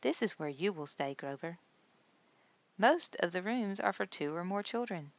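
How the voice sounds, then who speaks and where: A woman's voice: on the low side, animated, normal speed, dull audio. A woman voicing a kindly hospital nurse who explains things patiently and reassuringly in a storybook. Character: kindly adult female hospital nurse guide.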